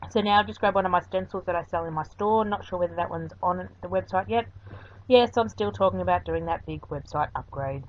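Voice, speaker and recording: This woman sits a touch low in pitch and talks in a loose, rambling way, dropping ums as she explains each craft step. Her voice-over comes through a dull, lo-fi recording. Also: a little fast, slight background noise. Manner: chatty and rambling, with frequent ums. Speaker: craft video host. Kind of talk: voice-over craft tutorial narration.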